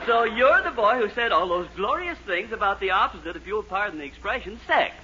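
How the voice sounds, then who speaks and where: An American actor playing a fussy, excitable shoe-store owner in a 1940s radio comedy, stammering and repeating himself. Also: male voice, sits high, a little fast. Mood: gushing. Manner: fluttery, stammering, repeats phrases. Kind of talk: 1940s radio sitcom acting. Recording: muffled, noisy 1940s radio recording.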